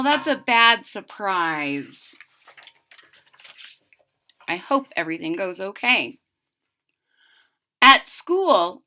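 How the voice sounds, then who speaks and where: Female children's storybook reader: unhurried, bright, expressive, with explanatory asides. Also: mid-range voice.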